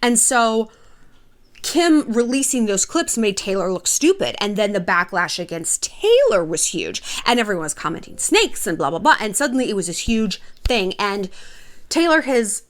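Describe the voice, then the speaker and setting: A woman's voice: mid-range voice, sing-song, moderate pace. Casual, sassy woman vlogger. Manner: chatty, sassy and irreverent, swears freely. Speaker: host of an online video channel. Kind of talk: casual, gossipy commentary to camera.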